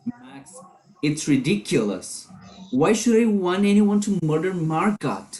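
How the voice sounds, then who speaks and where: A man's voice: high-pitched, very animated, measured pace, darkish tone, heavily acted. A man performing in a readers theater as a guarded husband, skeptical and questioning about a plan to save his wife. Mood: skeptical, resisting. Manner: doubtful, questioning.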